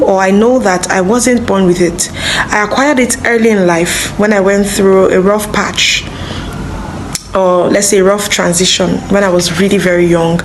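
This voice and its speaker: A woman's voice: mid-range voice, a little hiss or hum, rough recording. A woman giving a personal testimony to camera, partly from notes, conversational and speaking directly to the viewer. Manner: conversational, addresses the viewer directly, checks for understanding. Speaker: teacher, singer and stutter awareness advocate.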